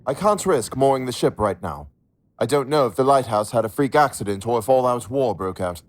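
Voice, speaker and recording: A mid-pitched male ship's captain played by an actor in an audio drama. He speaks with measured authority, cautious and weary under responsibility. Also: expressive, close to an everyday voice. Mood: grave, decisive.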